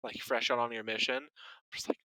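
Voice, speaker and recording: A man's voice: mid-range voice, level tone, a little fast, bright, forceful tone, clean tone, no room sound, rough recording. A young adult man co-hosting a podcast, chatting casually and laughing often. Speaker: podcast co-host. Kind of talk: casual chat.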